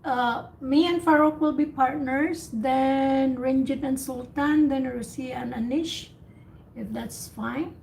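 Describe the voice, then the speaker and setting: A woman's voice: high-pitched, fairly even intonation, slow pace, vocal fry, a little mellow, low-quality recording. A woman hosting an online English speaking practice, asking questions and giving simple explanations and corrections over a group call. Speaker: host and English speaking teacher. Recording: faint background noise on online call.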